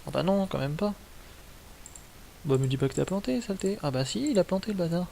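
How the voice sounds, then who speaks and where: A creaky-voiced man streams video games with casual commentary. His voice sits a little high for a man and moves around a lot, in informal, slangy, mildly sweary talk. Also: expressive, fairly quick, warm tone, slight background noise. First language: French.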